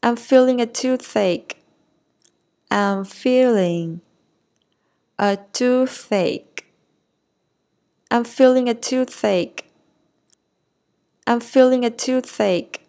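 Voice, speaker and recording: A woman's voice: on the high side, drawn-out words, long pauses, mellow. A woman reading short English phrases for learners. She splits each phrase into chunks and then repeats it whole. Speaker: English teacher.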